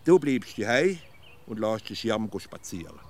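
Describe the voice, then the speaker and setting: An actor playing a self-important provincial everyday philosopher in a comic radio play, pedantic and folksy, with a Swiss accent. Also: male voice, on the low side, big pitch swings, unhurried. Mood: confident advising. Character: cocksure provincial male everyday philosopher giving absurd advice.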